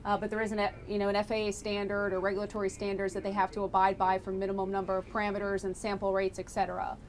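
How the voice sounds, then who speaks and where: A woman's voice: completely flat delivery, fast talker. A woman explaining technical material conversationally, like an engineer briefing visitors and taking questions. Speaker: lab engineer presenting flight recorder analysis. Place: laboratory tour, indoors. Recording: noisy room with low rumble.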